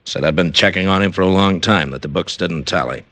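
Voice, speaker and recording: A very deep-voiced, blunt businessman in a vintage radio crime drama. He answers questions defensively and curtly. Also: a little fast, a bit bright. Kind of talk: 1950s radio drama acting.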